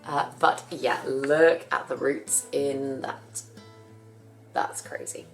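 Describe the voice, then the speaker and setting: A young woman with a husky voice from a cough, chatting casually about her plants as she works. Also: extremely low pitch, steady tone, takes it slowly, faint music behind, poor audio. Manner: chatty, rambling, self-correcting. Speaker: plant care vlogger. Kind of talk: casual chat while working.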